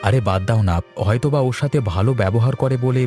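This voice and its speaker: An actor playing a calm, level-headed village husband in a cartoon, reassuring and measured. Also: male voice, medium pitch, faint music behind, lightly acted. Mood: friendly.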